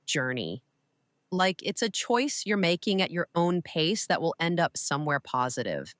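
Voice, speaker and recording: A woman playing a shrewd, composed older widow and mentor in an audio drama, speaking with deliberate authority. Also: on the low side, big pitch swings, a bit bright, no echo. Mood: sombre, firm.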